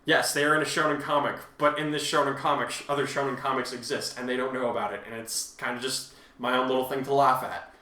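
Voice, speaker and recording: A man's voice: mid-range voice, fairly even intonation, fast talker, hardly any pauses, sharp tone. A man hosting in an informal way, talking and reading his own fiction aloud. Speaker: podcast host and story author. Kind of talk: casual chat and dramatic story reading.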